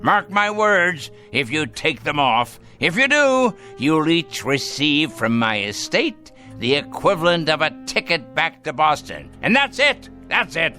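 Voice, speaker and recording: Slow, sardonic dying old grandfather. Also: on the high side, sing-song, pushed and bright, soft background music, heavily acted. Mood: bitter, commanding. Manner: theatrical, mocking, drawn-out delivery. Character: dying rich grandfather, bitter, sardonic, domineering old man. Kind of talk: radio drama acting.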